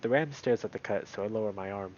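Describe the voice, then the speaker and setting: A man streaming a visual novel, moving between read-aloud narration and casual, animated commentary. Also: on the low side, fast talker, muffled recording, a little hiss or hum.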